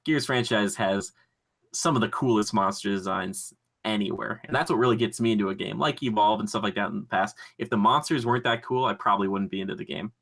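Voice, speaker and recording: Bright-voiced, excitable man chatting on a podcast. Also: on the low side, fast, gravelly. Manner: excitable, gushing, rambling. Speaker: podcast host. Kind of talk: casual enthusiastic pop culture podcast chat.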